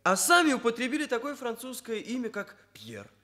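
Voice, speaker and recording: An actor playing an earnest, self-important young trainee hairdresser in a radio drama, speaking in solemn, pedantic, stilted phrases. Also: male voice, very high-pitched, huge pitch swings, a little fast, sharp tone, full character voice. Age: young adult. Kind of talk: Soviet radio drama acting.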